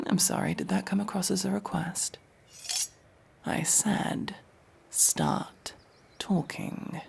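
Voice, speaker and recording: A cold elf mercenary played by a man in a fantasy audio drama. His voice is heavily gravelled and husky, and he takes his time, interrogating with dry, sardonic menace. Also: sits high, fairly even intonation, exaggerated voice. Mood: menacing. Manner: slow, menacing, dry sarcasm. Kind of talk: fantasy audio roleplay acting.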